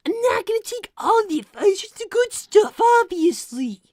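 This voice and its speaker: A young cartoon fox boy played by a woman, very high and animated. He is high-strung and earnest, quick to get exasperated. The sound is close and dry. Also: no echo. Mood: worried, matter-of-fact. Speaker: cartoon character co-hosting a livestream. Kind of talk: improvised in-character livestream chat.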